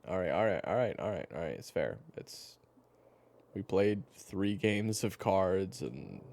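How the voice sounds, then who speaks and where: A man playing a weary but gentle storyteller with a regretted past, talking casually and haltingly to the listener in an intimate audio roleplay. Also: very deep voice, creaky, a little hiss or hum, exaggerated voice. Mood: relenting, warm. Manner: casual, halting, with sighs and pauses.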